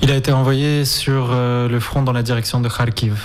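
Even-toned young man, hesitant and plain-spoken. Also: medium pitch, normal speed. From Ukraine, of Spanish nationality. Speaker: interview guest, volunteer and documentary maker. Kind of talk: informal spoken interview answers.

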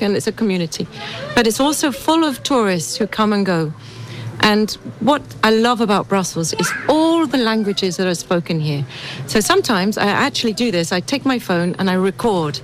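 A middle-aged woman with an English accent talks casually about her own life as an interviewee. Her voice is of average female pitch and conversational. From England. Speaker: interviewee, local resident.

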